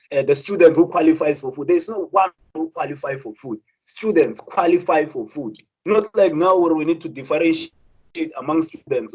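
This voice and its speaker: Earnest South African man, husky voice. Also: a little high, darkish tone, dull audio. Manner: earnest, many repeated words and restarts. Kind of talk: impassioned spoken talk.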